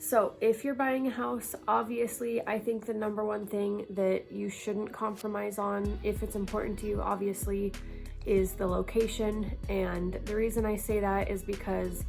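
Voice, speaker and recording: A woman's voice: medium pitch, little pitch movement, normal speed, creaky, a little mellow, faint music behind. A young woman realtor talking casually and directly to her viewers, stuffy and congested, with frequent ums. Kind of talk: casual educational vlog.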